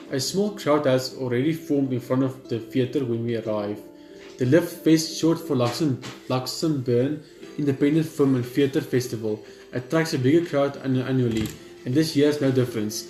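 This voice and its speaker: A man's voice: mid-range voice, normal speed, warm tone, faint music behind. Casual man reading a game aloud in a roomy room. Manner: casual, stumbling, swears offhand. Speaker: gaming channel host. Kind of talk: reading a visual novel aloud with commentary.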